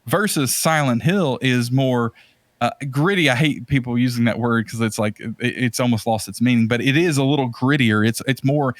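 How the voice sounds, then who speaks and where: A man's voice: medium pitch, moderate pace, no room sound. A man hosting a podcast in casual, opinionated film commentary, emphatic and rambling with repeated phrases. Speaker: podcast host.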